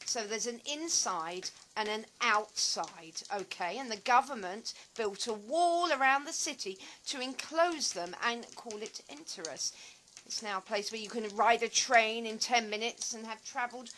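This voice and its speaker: Bright, emphatic, nervy eccentric woman, acted. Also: on the high side, normal speed, slight background noise, lightly acted, poor audio. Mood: insistent, explaining. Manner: rambling, emphatic, theatrical. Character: eccentric, nervous rambling former actress in dystopia. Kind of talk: audio play acting.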